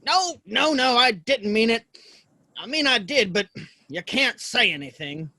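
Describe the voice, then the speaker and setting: Man playing an earnest high-voiced cowboy. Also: sing-song, measured pace, pushed and bright, dry recording, studio quality, full character voice. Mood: flustered. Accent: American. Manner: folksy, hesitant, earnest. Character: earnest, plain-spoken cowboy, shy yet defiant. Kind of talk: Western audio play acting.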